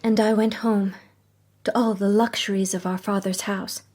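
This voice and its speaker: A woman's voice: mid-range voice, little pitch movement, moderate pace, a little mellow, lightly acted. An actress playing a young, loyal and proud society woman detective in a radio drama, speaking in a refined, heartfelt way. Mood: earnest, reflective.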